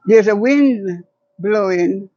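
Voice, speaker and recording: A woman's voice: mid-range voice, takes it slowly, mellow, dry recording. An older woman, speaking as a guest and poet in dull, lo-fi audio. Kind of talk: reminiscing in conversation and reciting own poetry.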